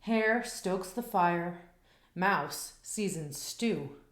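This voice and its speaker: A woman reading a children's picture book aloud in a slow, expressive, rhythmic way, after a casual spoken intro. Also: on the low side, roomy sound.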